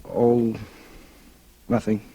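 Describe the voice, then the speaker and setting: A man's voice: medium pitch, monotone, drawn-out words, warm tone, lo-fi sound. An actor playing a cynical, scheming male writer in a 1940s radio drama, talking in a colloquial, calculating way. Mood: feigned reluctance. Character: smooth scheming male writer, cynical opportunist courting a dying heiress. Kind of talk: 1940s radio drama acting, first-person noir narration. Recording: noisy vintage radio recording.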